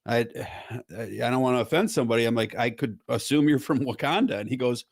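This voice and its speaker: A man's voice: mid-range voice, fairly quick. A man hosting a casual podcast, joking around and telling stories with lots of energy. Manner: joking, animated storytelling. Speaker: podcast host.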